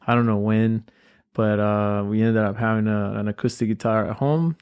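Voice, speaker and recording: A man from Colombia with a Colombian accent, a guest musician chatting casually and thoughtfully in an interview, often pausing and restarting. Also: on the low side, steady tone, a little mellow, clean tone.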